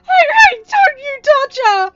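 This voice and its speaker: A woman's voice: extremely high pitch, very animated, takes it slowly, fairly bright tone, lo-fi sound, pitched above the performer's own voice, heavily acted. A woman voicing a grief-stricken young man who screams and sobs, pleading for his sister. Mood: anguished screaming. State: crying.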